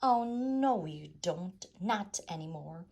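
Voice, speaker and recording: Lady doing a bossy greedy storybook rat bandit, swoopy and gravelly, kinda menacing. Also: fairly low pitch, animated, slow, a little mellow, full character voice. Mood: greedy forceful. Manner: declaiming, pompous, menacing. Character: greedy bullying male rat highwayman, bellowing demands. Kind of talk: storybook character voice.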